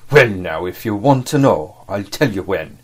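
A man's voice: on the low side, lively intonation. A man voicing a sly, scornful, commanding sea cook for an audiobook, in speech with emphatic rustic phrasing. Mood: scheming. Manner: rustic sailor talk, emphatic, drawn-out delivery. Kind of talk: audiobook character acting.

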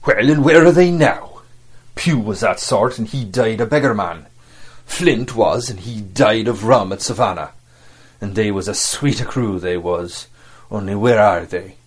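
A man's voice: lowish voice, breathy edge. A man voicing a sly, scornful, commanding sea cook for an audiobook, in speech with emphatic rustic phrasing. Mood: cold, calculating. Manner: rustic sailor talk, emphatic, drawn-out delivery. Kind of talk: audiobook character acting.

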